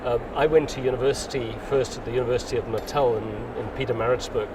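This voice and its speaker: Man in his 60s, unhurried interviewee, heard from a distance over loud traffic noise. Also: medium pitch, fairly even intonation. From South Africa. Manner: rambling, restarts often, unhurried. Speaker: interviewee, mycologist. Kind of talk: casual interview chat.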